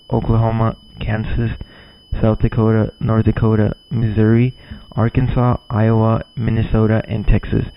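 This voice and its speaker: A man playing a grave emergency broadcast announcer, reading formally and deliberately from a script, occasionally stumbling over words. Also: on the low side, natural voice. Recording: dull lo-fi audio.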